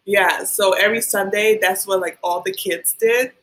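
A woman who grew up in Liberia talks as a guest on a podcast. Her speech is casual and halting, full of ums and likes, at a mid pitch for a woman. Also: fairly even intonation, a bit bright. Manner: hesitant, many ums and likes. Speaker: guest, hair stylist. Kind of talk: casual interview.

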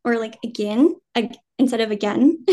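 Chatty American woman, slightly high. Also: normal speed. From New Jersey, US. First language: Vietnamese. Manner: relaxed, rambling, many "um" and "like", laughs easily. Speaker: guest actor. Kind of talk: casual interview chat.

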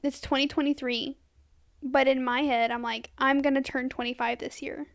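A woman's voice: high-pitched, steady tone, normal speed. A woman in her twenties co-hosting a casual podcast, easygoing and agreeable. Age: 20s. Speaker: podcast co-host. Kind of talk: casual chat.

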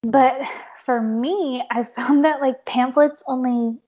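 A young woman hosting a casual conversation, a little hoarse, saying "like" often with an uneven pace. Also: high-pitched, fairly even intonation, slow, warm tone. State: slightly hoarse. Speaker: podcast host. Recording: dull lo-fi sound.

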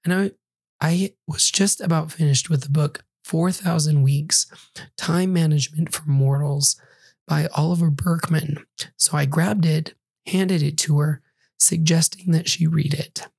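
A man hosting a solo podcast, reviewing a book in an earnest, reflective way while hoarse and recovering from losing his voice, some words breathy and half-whispered. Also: a little high, fairly even intonation, a little slow, darkish tone, no echo. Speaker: podcast host.

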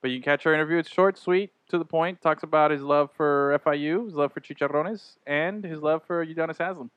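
A man's voice: a little high, brisk, fairly bright tone, clear, resonant tone, no room sound, muffled recording. A man hosting a casual chat, laid-back and slangy, laughing often. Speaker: podcast host.

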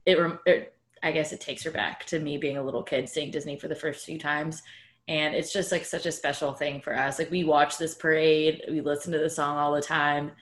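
A young woman co-hosting a casual group podcast, lively and enthusiastic, laughing easily. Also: low voice, moderate pace, echoey room. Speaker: podcast co-host.

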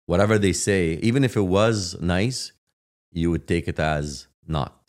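A raspy-voiced man, a guest telling his story in a loose, reflective way with many fillers. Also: deep voice, measured pace, a little mellow. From Palestine. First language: Arabic. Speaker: guest, real estate agent. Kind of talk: casual interview chat.

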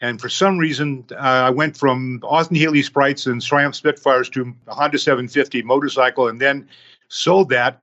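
A man in his sixties, a podcast guest who tells his story slowly, in numbered steps. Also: mid-range voice, steady tone, fairly bright tone, muffled recording.

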